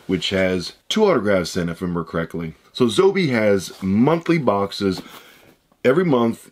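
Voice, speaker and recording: Hoarse, low-voiced man in his thirties, casual chatty unboxing host at a desk. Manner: chatty, rambling, upbeat. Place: room with shelves of shoe boxes, indoors.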